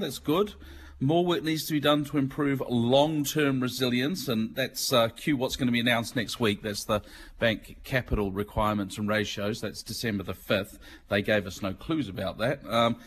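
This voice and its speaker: A middle-aged man with a Mancunian accent talks through the markets on a radio segment in a chatty, plain-spoken way, at an everyday mid pitch. A faint hum sits under his voice. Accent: Mancunian English. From England. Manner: chatty, explains in plain words, many ums. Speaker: financial markets commentator.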